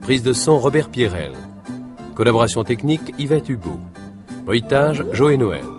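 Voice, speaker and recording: A man's voice: medium pitch, very animated, normal speed. A man announcing closing credits in a formal 1950s French radio style. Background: music.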